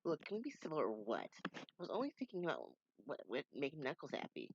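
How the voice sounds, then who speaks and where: A man voicing a gruff, hotheaded young male echidna in a low, grumbling tone. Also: huge pitch swings, fast talker, dull audio, slight background noise, heavily acted.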